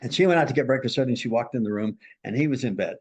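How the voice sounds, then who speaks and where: A man, a marriage and family therapist, recounting a counseling case as a lively storyteller who acts out the people he quotes. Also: racing, breathy edge. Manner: animated storyteller, acts out quoted voices with big pitch jumps.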